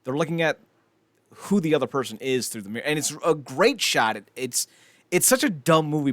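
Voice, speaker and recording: A man hosts a casual podcast in a mid-range voice that swings widely in pitch. He rambles through his opinions with casual profanity. The sound is dry, with no room around it. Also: a bit bright, clear, resonant tone, dry recording. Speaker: podcast host. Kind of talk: casual podcast chat about films and entertainment news.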